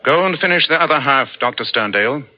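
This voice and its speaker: A man's voice: medium pitch, lively intonation, quick pace, close to an everyday voice. An actor playing a commanding male detective in a 1940s radio drama. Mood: measured authority. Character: commanding male detective passing lenient judgement. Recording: muffled, noisy old radio recording.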